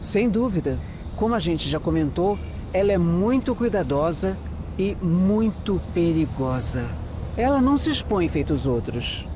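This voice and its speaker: A sharp, wary female detective in a radio play. She explains her deductions with measured confidence, over clearly noisy ambience with crickets. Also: on the low side, sing-song. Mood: wary, assured. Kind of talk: audio drama dialogue.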